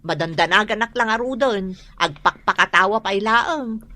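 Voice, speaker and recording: A woman's voice: medium pitch, normal speed, bright, forceful tone, natural voice. A woman acting as an exasperated, worried, nagging wife in a radio drama, with an Ilocano accent. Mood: worried fretting. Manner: nagging, sighing, emphatic. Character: exasperated, worried wife of a hard-drinking man.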